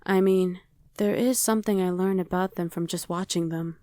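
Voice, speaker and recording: Casual young survivor played by a woman, ASMR drama, lowish mellow voice, very dry recording. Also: fairly even intonation, normal speed, no room sound, close to an everyday voice. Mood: casual, explaining. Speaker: voice actor. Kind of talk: ASMR roleplay acting.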